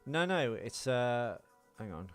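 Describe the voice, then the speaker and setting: A man's voice: medium pitch, big pitch swings, slow pace, fairly bright tone, clean tone, faint music behind. A man co-hosting a podcast, chatting casually about a film in a musing, wandering way. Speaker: podcast co-host. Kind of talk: casual film commentary chat.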